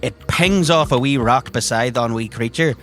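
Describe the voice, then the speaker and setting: A man's voice: mid-range voice, normal speed, fairly bright tone, soft background music. A man narrating a tabletop adventure as game master, in vivid, theatrical and descriptive language.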